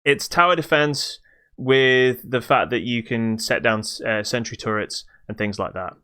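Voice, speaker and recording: A man co-hosting a podcast, reviewing games in casual chat with halting explanations that trail into dismissive asides. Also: mid-range voice, bright, forceful tone, clean tone. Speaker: podcast co-host.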